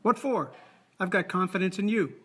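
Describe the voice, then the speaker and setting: A man's voice: high voice, normal speed, a bit bright, husky. A man playing a folksy, trusting old judge in an amateur scripted film. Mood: genial.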